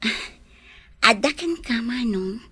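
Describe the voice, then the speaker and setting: A woman acting a brisk, skeptical village employer in an Ilocano radio comedy drama. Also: fairly high pitch, expressive, bright, forceful tone, husky, dry recording, full character voice. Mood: skeptical, reserved.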